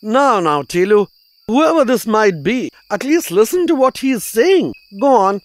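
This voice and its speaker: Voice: medium pitch, big pitch swings, a little slow, dry recording. An actor voicing a kindly, forgetful old owl who placates everyone in a cartoon. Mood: placating. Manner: forgetful, muddles names, placating. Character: doddering, kindly bespectacled old owl chairing a meeting. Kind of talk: cartoon voice acting.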